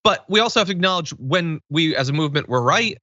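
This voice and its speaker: Male political commentary host, bright and punchy, sardonic and conversational. Dry, slightly muffled sound. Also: fairly high pitch, animated, quick pace, dry recording. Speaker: talk show host.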